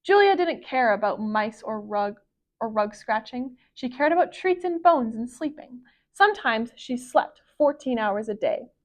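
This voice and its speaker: A woman with a high voice reads a picture book to children. She is a library storyteller, and her phrasing is careful and expressive. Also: measured pace.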